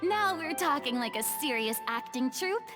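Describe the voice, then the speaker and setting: A showy, proud former goddess with a high, bright voice, speaking grandly and a bit imperiously. Soft game music plays underneath. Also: female voice, lively intonation, moderate pace. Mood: grandiose.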